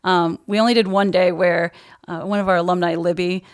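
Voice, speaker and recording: Lower-pitched woman, casual interview guest. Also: little pitch movement, fairly quick, clean tone. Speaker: guest, high school teacher and drama director.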